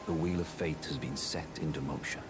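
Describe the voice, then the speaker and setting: An actor playing a gruff, blunt veteran warrior in a fantasy game, matey and measured. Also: male voice, rumbling low, fairly even intonation. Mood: respectful farewell. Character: gruff, plain-spoken veteran warrior man, admiring and companionable. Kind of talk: fantasy game character dialogue. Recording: music playing behind the voice.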